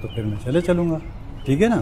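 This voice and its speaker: Humble, gentle watchman. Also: on the high side, sing-song, quick pace. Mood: gentle, reassuring. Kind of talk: acted dialogue in a moral drama. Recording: outdoor location sound with faint noise.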